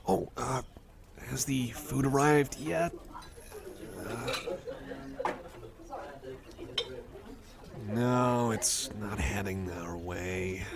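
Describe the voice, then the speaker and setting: A man's voice: mid-range voice, extremely slow, creaky, pauses often. A man playing a friendly, nerdy cyborg card enthusiast in a casual ASMR roleplay, rambling hesitantly and apologetically. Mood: apologetic. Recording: cafe crowd chatter behind. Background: busy cafe chatter.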